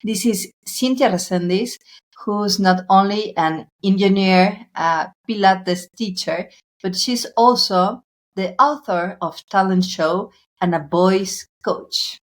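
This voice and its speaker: A woman hosting a podcast interview, speaking in a measured, soft-spoken way. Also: mid-range voice. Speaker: podcast host.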